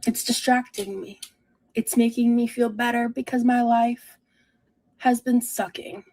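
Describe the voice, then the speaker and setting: A woman giving a personal, confessional vlog monologue in a halting, self-reflective way. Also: a little high, fairly even intonation, darkish tone, a little hiss or hum.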